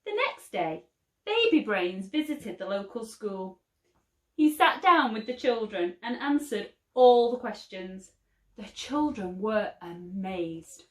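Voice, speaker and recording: A woman teacher reading a picture book aloud for children, slow and expressive. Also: medium pitch. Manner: slow, expressive, lively storytelling. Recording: roomy echo.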